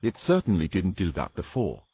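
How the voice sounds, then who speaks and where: A man playing a wary, stubborn, educated man in an audio fantasy, with a husky voice and cautious, pleading delivery. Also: low voice, huge pitch swings, normal speed, no room sound, highs cut off, heavily acted. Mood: grave. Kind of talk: dramatic audio fiction acting.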